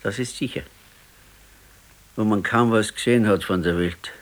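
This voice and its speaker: A man's voice: fairly low pitch, moderate pace, natural voice. An actor playing a discontented, regretful husband in his sixties in a naturalistic radio play, talking plainly with a Bavarian accent. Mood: brooding regret. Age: 60s. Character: discontented, regretful ailing 68-year-old husband. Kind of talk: naturalistic 1970s radio play dialogue in dialect.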